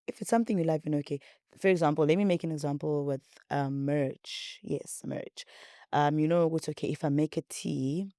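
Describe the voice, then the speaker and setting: Deep-voiced chatty South African woman. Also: dark tone, no room sound, clean studio sound. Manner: rambling, repetitive, chatty, says she talks a lot. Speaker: podcast host. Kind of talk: casual chat to viewers.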